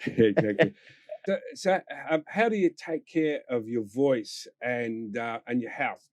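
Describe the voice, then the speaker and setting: A man's voice: medium pitch, animated, slow, no room sound. Male interviewer, dry close sound. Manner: rambling questions with fillers, laughs along. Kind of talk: casual interview questions.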